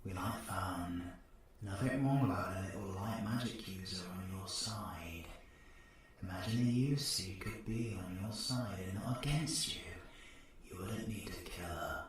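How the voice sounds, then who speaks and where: A British man playing a cocky, menacing, flirtatious supervillain in an audio roleplay, husky and sardonic, often dropping to breathy whispers. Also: sits low, a little slow. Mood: teasing, musing. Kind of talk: scripted audio roleplay, ASMR drama.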